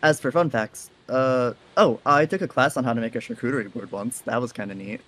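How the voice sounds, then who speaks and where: A man's voice: mid-range voice, fairly quick, a bit bright, close to an everyday voice. A man playing a friendly, easygoing leader of a graffiti crew in an audio drama, casual and a little hesitant. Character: Toast, friendly easygoing faceless graffiti artist, male group leader.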